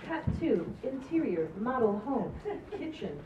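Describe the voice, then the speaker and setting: A woman's voice: a little high, very animated, measured pace. A woman narrating stage directions from a script at an amateur table read. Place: small indoor stage. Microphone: far camera. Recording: distant echoey muffled noisy camera audio.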